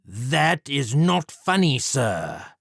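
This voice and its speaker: A man voicing an arrogant, sneering gentleman surgeon who is a murderer, in an audiobook story, with a haughty, drawn-out delivery. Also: medium pitch, big pitch swings, creaky, breathy edge, no room sound, clean studio sound, voice pushed up, heavily acted. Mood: impatient, haughty.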